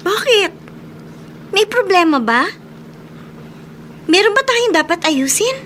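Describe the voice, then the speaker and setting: A woman's voice: very high voice, big pitch swings, takes it slowly, fairly bright tone, slight background noise, heavily acted. A woman playing an earnest, feisty young Filipino woman in a radio drama, speaking emotionally and emphatically. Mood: concerned. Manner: emotional, emphatic, mixes in English phrases.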